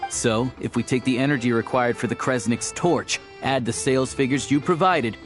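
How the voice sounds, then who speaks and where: A man's voice: medium pitch, lightly acted. A man playing a shrewd hunter in a video game, speaking with blunt, matter-of-fact confidence. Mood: smug, explaining. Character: shrewd, blunt male hunter turned investigator. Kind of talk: dramatic video game voice acting. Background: faint background music.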